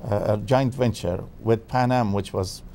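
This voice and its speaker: Afghan man, gravelly low voice. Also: steady tone, a little slow, warm tone, a little hiss or hum. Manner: hesitant, many fillers and restarts. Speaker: author and guest expert. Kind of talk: TV interview.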